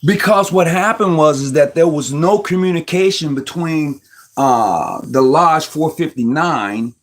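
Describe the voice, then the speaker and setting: A man's voice: fairly high pitch, unhurried. Emphatic man arguing a point. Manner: emphatic, argumentative, often restarts words. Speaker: host. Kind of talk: casual Masonic discussion.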